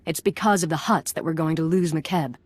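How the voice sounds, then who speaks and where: An actress playing a brash, cynical corporate boss in a video game, sardonic and clipped. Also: female voice, deep voice, fairly even intonation, fast talker, no room sound. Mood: bitter, resigned. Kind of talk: video game dialogue acting.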